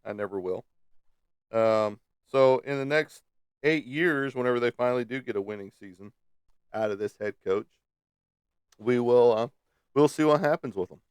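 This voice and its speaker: A man's voice: medium pitch, moderate pace, long pauses, smooth, no room sound, clean studio sound. A man hosting a casual chat who teases a viewer about sports and chuckles often as he talks. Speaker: host. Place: at a desk indoors.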